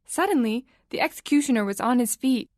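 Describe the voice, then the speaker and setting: Woman narrator, fairly high voice, measured, suspenseful scripted storytelling, dry close recording. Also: lively intonation, a little mellow, no room sound.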